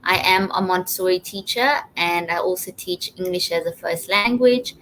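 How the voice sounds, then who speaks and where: A woman from Zimbabwe with a slightly low voice talks casually as an interview guest. She is on a livestream call with faint background noise. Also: moderate pace, a bit bright, low-quality recording. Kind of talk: casual personal testimonial.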